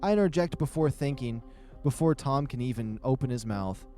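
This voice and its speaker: A man reading first-person story narration in an expressive, dramatic storytelling manner. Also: medium pitch, moderate pace, soft background music. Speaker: narrator and reader of a visual novel.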